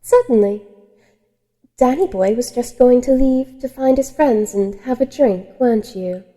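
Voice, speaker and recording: A woman's voice: fairly high pitch, expressive, a little slow, warm tone. A woman playing a refined, coy female vampire with proper phrasing in a horror-comedy audio drama. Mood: falsely sweet. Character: genteel, predatory female vampire immigrant out west.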